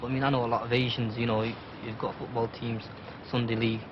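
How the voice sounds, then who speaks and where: Chatty fan interviewee, man, rapid and monotone, muffled old recording with clear background noise. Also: mid-range voice. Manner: chatty, full of fillers. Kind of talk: casual interview answers.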